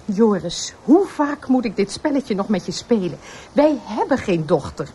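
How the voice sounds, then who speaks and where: A woman's voice: medium pitch, sing-song, measured pace, warm tone, slight background noise. An actress playing an anxious, guarded woman with a defensive manner in a radio drama. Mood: defensive, pleading. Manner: hesitant, defensive. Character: anxious, guarded woman haunted by former lover. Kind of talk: Dutch radio drama acting.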